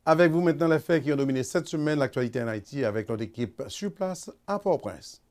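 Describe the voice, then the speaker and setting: A man's voice: fairly high pitch, lively intonation, fast talker, over music. A man with a Haitian accent hosting a TV magazine, reading out sponsor details and introducing the news. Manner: reads out phone number and sponsor, repeating. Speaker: TV magazine host. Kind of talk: studio announcements and links.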